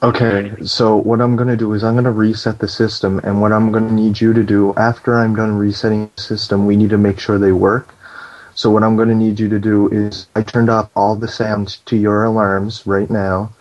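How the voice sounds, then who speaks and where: A man playing a calm, bossy fire alarm operator on a prank phone call, deadpan, procedural and insistent. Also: fairly low pitch, moderate pace, natural voice. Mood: deadpan, official. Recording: dull lo-fi phone call recording.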